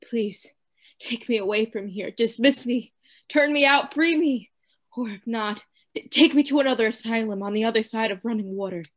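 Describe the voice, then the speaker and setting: High voice, tormented asylum lunatic, fanatical, formal theatrical diction, radio play, woman actor. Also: moderate pace, lightly acted. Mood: desperate pleading.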